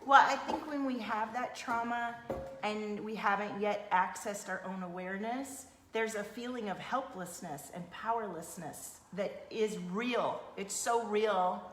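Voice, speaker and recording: Woman, mid-pitched, casual coaching talk, affirming, explanatory, echoey room, faint background noise. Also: unhurried, a bit bright. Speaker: energy healer and coach interviewing a client.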